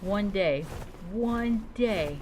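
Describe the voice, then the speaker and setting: A woman's voice: medium pitch, animated, drawn-out words, darkish tone, a little hiss or hum, rough recording. A woman doing in-character commentary as she plays a roleplay adventure game, musing dramatically.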